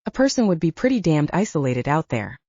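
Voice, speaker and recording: A woman narrates an audiobook in a fairly low, mellow voice with animated, swooping intonation. The recording is dry and a little dull. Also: fairly quick, dry recording. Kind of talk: scripted fiction narration.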